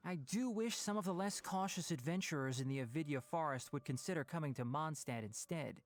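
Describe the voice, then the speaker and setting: A man's voice: a little high, fairly quick, natural voice. A man playing a bookish, practical young forest ranger in an anime-style game, articulate and dry.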